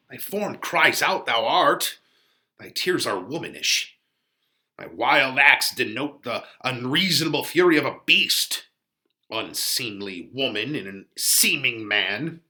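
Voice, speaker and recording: A man's voice: mid-range voice, very animated, slow pace, bright, forceful tone, heavily acted. A man voicing an exasperated holy friar who scolds in declamatory Shakespearean verse. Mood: exasperated scolding. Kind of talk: dramatic Shakespeare reading.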